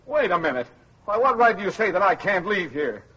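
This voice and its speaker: An actor playing an indignant male detainee in a radio drama, combative and forceful as he stands on his rights. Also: high-pitched, big pitch swings, fairly quick, slightly rough, heavily acted. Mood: outraged. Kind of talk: 1950s radio drama acting. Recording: muffled old radio recording.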